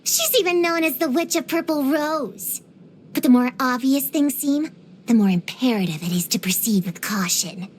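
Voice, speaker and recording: Woman doing a precocious little kid for a video game, high pitched, dramatic and earnest, some noise behind it. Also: moderate pace, close to an everyday voice. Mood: solemn, confiding. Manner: earnest, theatrical, uses grown-up vocabulary. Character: precocious, feisty, dramatic little girl witch hunter. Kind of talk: scripted video game character voice lines.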